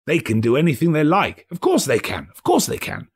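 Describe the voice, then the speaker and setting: A man acts out a giddy old miser bursting with joy in a storybook tale. The pitch is pushed high and swings wildly, with a husky edge. The delivery is excitable, breathless and exclamatory. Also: brisk, exaggerated voice. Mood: excited.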